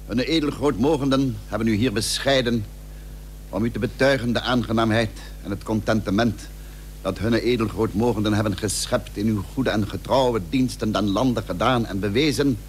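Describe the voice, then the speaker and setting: A man's voice: lowish voice, moderate pace, natural voice. An actor playing a seventeenth-century statesman in a radio play, pompous and ceremonious, speaking in ornate official formality. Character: ceremonious 17th-century statesman addressing an honoured commander. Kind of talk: Dutch radio play acting. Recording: old radio recording with faint hum.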